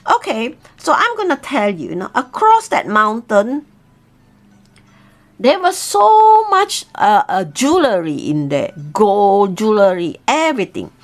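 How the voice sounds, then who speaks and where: A woman's voice: mid-range voice, very animated, unhurried. A woman voicing a mother eagle, polite and earnest, in a storybook tale. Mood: bargaining. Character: pleading mother eagle guarding her three babies.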